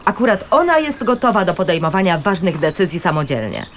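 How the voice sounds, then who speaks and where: A woman's voice: lowish voice, expressive, fairly quick, a little hiss or hum, close to an everyday voice. An actress playing an anxious middle-aged wife and mother in a naturalistic radio drama, defensive and sarcastic, with dry, sceptical retorts.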